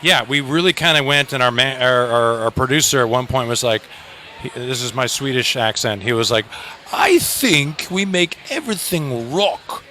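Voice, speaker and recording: A man's voice: mid-range voice, lively intonation, moderate pace, pushed and bright, a little hiss or hum. A man in a rock band chatting casually in an outdoor festival interview, joking and rambling with restarts.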